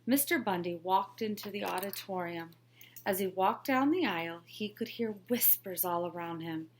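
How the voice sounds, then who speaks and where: A woman, a teacher, narrating a children's picture book aloud in a clear, expressive storytelling manner. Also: mid-range voice, normal speed, a little hiss or hum. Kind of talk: children's read-along narration.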